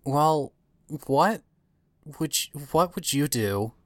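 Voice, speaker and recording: A woman playing a hesitant, pleading hunter in an audio drama, speaking haltingly and unsure of herself. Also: low voice, lively intonation, no room sound. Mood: worried, pleading. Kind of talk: scripted audio drama dialogue.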